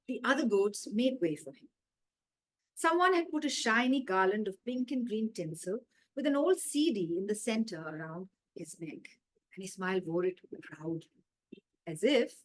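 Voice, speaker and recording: Woman, Indian accent, animated intonation, children's story readaloud, measured delivery, dramatic pauses. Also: mid-range voice, poor audio. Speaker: author reading own story aloud.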